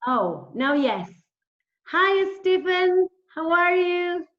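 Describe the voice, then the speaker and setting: Woman, high voice, slow pace, hesitant interviewer, simple repeated phrases, echoey room. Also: sing-song. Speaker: interviewer, English teacher. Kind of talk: informal interview over video call. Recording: dull, echoey call audio.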